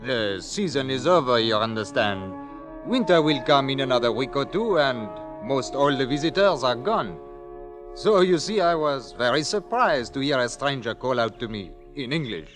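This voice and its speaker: Man playing a mountain guide, lively pitch. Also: normal speed, music in the background, natural voice. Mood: recounting, surprised. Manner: formal, hesitant foreign phrasing. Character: wary, courteous Swiss mountain guide, French-speaking. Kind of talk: 1940s radio acting. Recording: 1940s radio broadcast recording.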